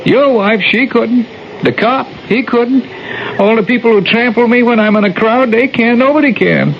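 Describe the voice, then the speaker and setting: High-voiced, plaintive, halting ghost father. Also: vocal fry. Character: gentle, sorrowful ghost of a murdered father. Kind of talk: 1970s radio drama acting. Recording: noisy, dull 1970s radio recording.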